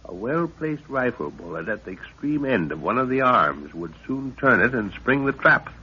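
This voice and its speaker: A man playing a level-headed middle-aged professor and expedition leader in a 1930s radio drama, speaking with deliberate authority on an old recording. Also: mid-range voice, big pitch swings, slightly rough, lo-fi sound. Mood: confident planning.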